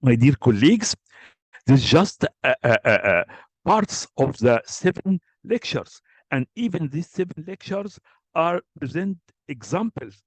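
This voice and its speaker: Man with a higher voice, chairing a session. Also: sing-song, measured pace, slightly rough, no echo. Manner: earnest, hesitant, frequent fillers. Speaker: webinar chair. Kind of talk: addressing colleagues in a live session.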